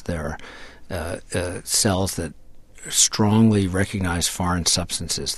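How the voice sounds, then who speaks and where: A man with a somewhat low voice answers as a scientist guest, explaining step by step in a measured way. He often doubles his words as he works through a point. The sound is dry and close to the mic. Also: no echo.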